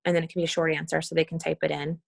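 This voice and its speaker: A woman's voice: deep voice, little pitch movement, rapid-fire, creaky. A woman leading an informal screen-share walkthrough on a team call, talking casually and thinking aloud as she demonstrates. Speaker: team leader giving a tutorial.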